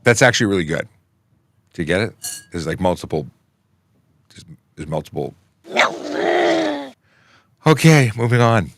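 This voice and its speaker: A man's voice: sits low, very animated, moderate pace, long pauses. A middle-aged man from Canada, a traffic police officer hosting a casual livestream Q&A, reading viewer questions and answering them plainly into a close microphone. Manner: chatty, reads questions aloud and answers plainly. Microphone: close studio microphone.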